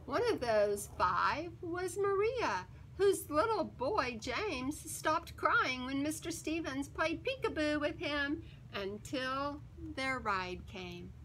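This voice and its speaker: A woman reading a children's picture book aloud in an expressive, theatrical way, with emphatic words and dramatic pauses. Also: sits high, slight background noise, poor audio.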